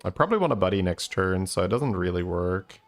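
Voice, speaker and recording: A man, a Dutch speaker, giving casual gameplay commentary as a streamer, thinking aloud in a low-key, musing way. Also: animated, brisk, faint music behind. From Belgium.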